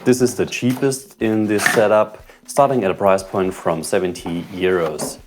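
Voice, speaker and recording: A man hosting a tech channel, giving calm, short remarks in an unboxing voice-over. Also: fairly low pitch, moderate pace, background noise.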